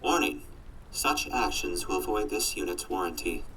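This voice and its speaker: A man voicing a gloomy, literal-minded robot companion in an audio drama, with stilted, deadpan announcements. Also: on the low side, measured pace, slightly rough, exaggerated voice, rough recording. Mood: flat warning. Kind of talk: post-apocalyptic audio drama acting.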